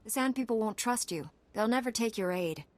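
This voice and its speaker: A woman's voice: fairly high pitch, expressive, a little fast, no room sound, close to an everyday voice. Woman playing a resolute heroic space knight. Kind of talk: video game voice acting.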